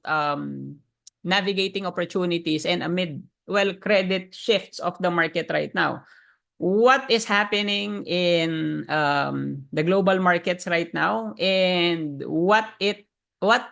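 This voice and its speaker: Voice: medium pitch, vocal fry, fairly bright tone. A trading educator with a Filipino accent, whose first language is Tagalog, giving a live market lesson and asking viewers to type in chat. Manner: addresses viewers directly, asks them to type in chat; frequent fillers and restarts.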